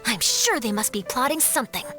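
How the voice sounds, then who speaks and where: An actress playing a keen, confident young female reporter in a video game, brisk and conspiratorial. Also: on the high side, expressive, over music, lightly acted. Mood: breezy. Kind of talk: video game cutscene acting.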